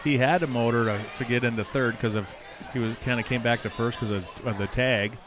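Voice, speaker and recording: A man's voice: medium pitch, steady tone, a little fast. A man with a cold giving color commentary on a baseball game, mostly short agreeing remarks. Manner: brief agreeing interjections, laughs easily. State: has a cold, sniffly. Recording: crowd noise in the background.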